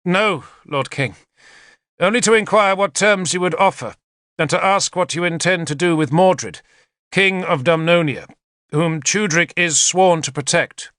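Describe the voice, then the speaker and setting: A male reader plays a courteous, steadfast knight envoy from historical fiction. He speaks firmly and respectfully, a little high in pitch, with a dry, close sound. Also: unhurried, fairly bright tone, no echo. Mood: formal. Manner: formal, firm, respectful.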